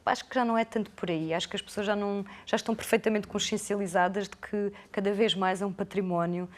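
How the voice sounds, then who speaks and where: A woman's voice: mid-range voice, fairly even intonation, quick pace. A woman, guest on a television interview. She answers at length in a careful, hesitant manner, often restarting and repeating words as she builds her thoughts.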